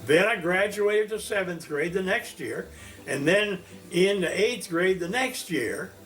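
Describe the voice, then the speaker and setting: A man of one hundred from Indiana, recalling his life as a teacher in a slow, storytelling interview. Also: faint music behind. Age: 100s. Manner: slow, storytelling, animated pitch swings. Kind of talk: reminiscing interview.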